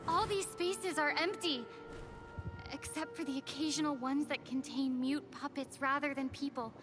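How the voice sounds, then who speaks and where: A woman playing an earnest, thoughtful young female adventurer in a video game, recounting things in a measured way. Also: high voice, fairly even intonation, lightly acted. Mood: grave. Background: music.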